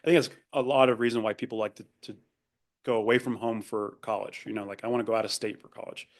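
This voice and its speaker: A man's voice: fairly low pitch, steady tone, fast. A young man whose first language is Spanish, raised in Argentina, talking thoughtfully as a podcast guest with many fillers and restarts. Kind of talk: casual interview conversation.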